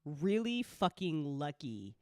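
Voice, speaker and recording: A woman hosts a relaxed, informal chat. She gushes and swears freely, repeating herself for emphasis. Her pitch is very lively, and the sound is dry. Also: takes it slowly, no echo. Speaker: host, audio drama writer and creator. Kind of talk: casual chat, live after show.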